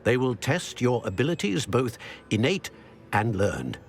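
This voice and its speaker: A man voicing an ancient guardian of secrets, speaking in a grave, formal, measured way. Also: medium pitch, very animated. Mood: solemn. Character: solemn ancient male keeper of secrets.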